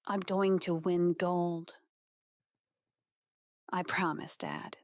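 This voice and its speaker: A woman's voice: fairly low pitch, level tone, drawn-out words. A woman acting as a determined, wry hockey player daughter with some edge, in an audiobook drama. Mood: earnest, determined.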